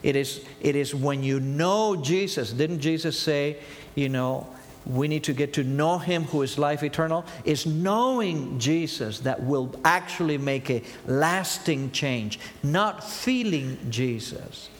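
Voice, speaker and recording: A man in his fifties with an Argentine Spanish accent delivers an earnest sermon. His voice sits a little higher than most men's. He poses rhetorical questions and answers them, and his words trail off into a long hall reverb. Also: slow pace. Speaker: preacher.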